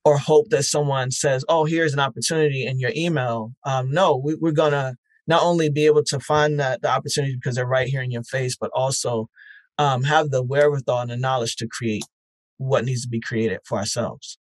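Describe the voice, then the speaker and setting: A man speaks as a podcast guest at a mid pitch, his voice dry and close with no room sound. He thinks aloud, circling back with fillers and restarts while making his points. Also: fairly even intonation, brisk, no echo. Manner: reflective, many fillers and restarts. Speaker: podcast festival founder, guest. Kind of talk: conversational interview answers.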